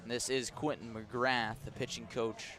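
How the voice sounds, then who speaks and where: A man's voice: mid-range voice, little pitch movement, measured pace, pushed and bright. A man doing live radio play-by-play of a baseball game as the announcer. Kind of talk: live radio sports commentary. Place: press box at an outdoor ballpark.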